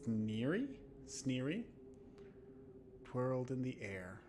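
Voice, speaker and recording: A man putting on a storytelling voice to narrate an eerie horror tale in a hushed, deliberate way. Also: mid-range voice, sing-song, slight background noise. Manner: hushed, deliberate, smooth reading.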